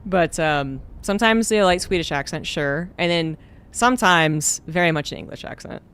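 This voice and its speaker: A man's voice: fairly high pitch, moderate pace, clean tone. A man streaming a game, chatting casually and rambling with lots of fillers. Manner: rambling, relaxed, many fillers. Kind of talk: casual gameplay chat.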